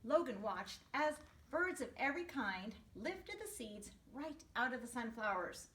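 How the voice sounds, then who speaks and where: A woman reads a children's story in a bright, high voice, explaining things to her young listeners as she goes. She is expressive and teacherly. The room echoes and the microphone sits some way off. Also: measured pace, far from the mic. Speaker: first grade teacher reading to her class. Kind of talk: storybook read-aloud for young children with asides.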